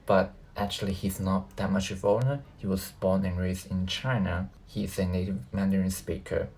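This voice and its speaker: Low, creaky-voiced man, native Cantonese speaker. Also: steady tone, normal speed, a little mellow, a little hiss or hum. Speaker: YouTube reaction host. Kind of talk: casual reaction commentary.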